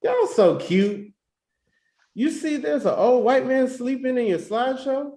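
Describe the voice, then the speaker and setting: High, cheeky young wedding guest, played by a man. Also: very animated, a little slow, warm tone, heavily acted. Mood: amused. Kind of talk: casual screenplay table read. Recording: roomy, echoey sound.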